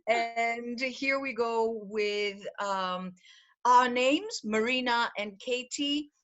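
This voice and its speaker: A woman's voice: on the high side, very slow, highs cut off. Greek-born woman on a video call, chatty, lots of ums and little laughs, middle-aged. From Greece. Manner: warm, chatty, laughs often, many fillers. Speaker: program director presenting a webinar. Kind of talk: live online information session. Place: home in Paris, on a video call.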